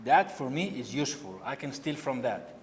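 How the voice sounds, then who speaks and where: A man from Barcelona speaking English with a Spanish accent, a painter giving a halting gallery talk who repeats words as he searches for them. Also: mid-range voice, lively intonation, slight background noise. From Spain. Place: gallery hall. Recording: long hall reverb, faint ambience.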